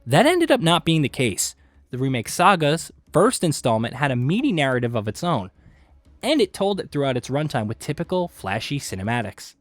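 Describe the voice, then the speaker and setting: Man narrating with very animated, swooping pitch. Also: moderate pace, no echo. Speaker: video essay narrator. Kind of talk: scripted narration for a video game list. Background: background music.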